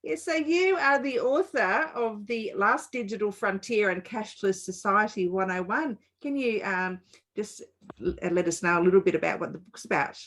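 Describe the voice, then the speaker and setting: A woman's voice: medium pitch, normal speed, a bit bright. A woman hosting a business podcast, reading a polished, upbeat intro and then asking questions. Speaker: podcast host. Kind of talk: scripted podcast intro and interview.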